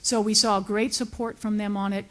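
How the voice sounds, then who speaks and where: A woman testifies before a legislative committee. Her voice sits at an average pitch, and she lays out details in a semi-formal, explanatory style. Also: flat delivery, moderate pace.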